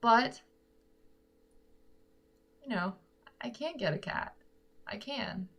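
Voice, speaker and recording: A woman's voice: on the high side, expressive, slow, vocal fry, a bit bright, slightly rough. Woman, young adult, giggly, rambling, casual viewer chat. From Korea. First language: Korean. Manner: giggly and rambling, thinking aloud. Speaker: singer-songwriter chatting with viewers. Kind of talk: casual chat answering live comments.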